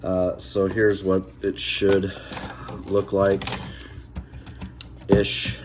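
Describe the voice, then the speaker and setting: Low-voiced man, casual DIY talk, noisy background. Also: completely flat delivery, extremely slow, a little mellow. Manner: informal, folksy, thinking aloud. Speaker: host of a DIY install video. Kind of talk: casual hands-on demonstration. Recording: noticeable background noise.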